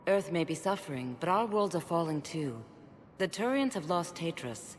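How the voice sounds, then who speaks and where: A woman's voice: lowish voice, very animated, normal speed, a little hiss or hum. A woman playing a stern, proud alien councilor in a video game, formal, clipped and dismissive. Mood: skeptical. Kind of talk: dramatic video game acting. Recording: echoing hall, faint background ambience.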